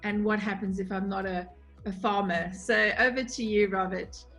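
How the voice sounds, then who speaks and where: Woman moderator, very even intonation, medium pitch, semi-formal panel setting. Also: normal speed, soft background music, low-quality recording. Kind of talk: handing over to next speaker.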